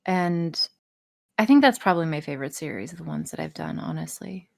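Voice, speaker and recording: A woman's voice: low voice, animated, brisk, vocal fry, dry recording, studio quality. A woman chatting casually as a podcast guest about her own work, blunt and freely swearing. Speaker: podcast guest, audio writer and voice performer. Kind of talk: casual interview chat.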